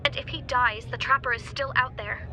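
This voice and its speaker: Earnest lady defense lawyer in a crime game, highish voice, persistent, music underneath. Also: steady tone, moderate pace. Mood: earnest, pleading. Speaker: actor in a crime thriller game. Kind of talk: naturalistic dramatic game acting.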